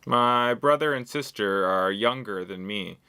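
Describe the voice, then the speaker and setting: Bright, clear-voiced Canadian man in his thirties. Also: lowish voice, unhurried. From Canada, West Coast. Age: 30s. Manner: simple sentences, frequent "uh" fillers, questions to the viewer. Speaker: English teacher. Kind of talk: slow, clear lesson monologue for learners.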